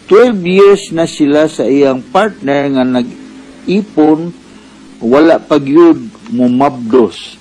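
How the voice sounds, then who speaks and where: A man's voice: medium pitch, dark tone, low-quality recording. A man with a Cebuano Filipino accent presenting a radio advice program as its doctor host, explaining things conversationally.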